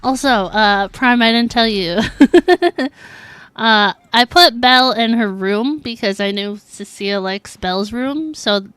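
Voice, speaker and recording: A woman with a fairly high voice hosts a casual game livestream. She talks at a slightly unhurried pace, chatty and informal with a giggly manner. The sound is close and dry, with faint music present. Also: animated, fairly bright tone, clear, resonant tone, no room sound. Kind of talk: casual live gaming commentary.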